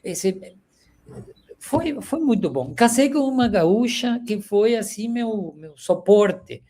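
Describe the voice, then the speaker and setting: A man in his sixties from Venezuela, speaking Portuguese with a Venezuelan Spanish accent, reflecting slowly as an interview guest over a video call with many pauses and the filler "este". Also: sits high, expressive, slight background noise. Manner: warm, halting, frequent filler "este".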